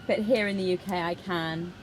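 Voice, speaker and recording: A woman voicing a signed personal statement as an interpreter, in halting phrases with pauses. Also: fairly even intonation. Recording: clear background noise, ambient rumble.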